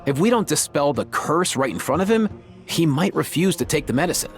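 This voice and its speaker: Young male game character: lightish pitch, earnest, casual, highly animated delivery. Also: brisk. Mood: earnest, persuasive. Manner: casual, expressive, explanatory. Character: earnest, kindly young male schemer rallying friends. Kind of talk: video game voice acting. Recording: light music behind.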